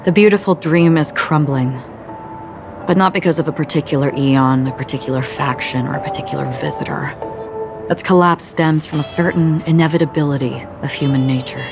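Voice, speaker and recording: A quiet, melancholic swordswoman from a video game, with a strikingly low voice for a woman. She speaks in a measured, solemn way. Game music plays clearly behind her. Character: calm, melancholic, forgetful female swordswoman, young adult. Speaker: wandering swordswoman. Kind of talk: game voice acting.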